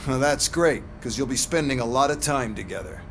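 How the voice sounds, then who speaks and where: An actor playing a manipulative, smug police boss in a video game, with a sardonic, condescending manner. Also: male voice, mid-range voice, a little fast, fairly bright tone, a little hiss or hum, natural voice. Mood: commanding. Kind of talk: scripted video game acting.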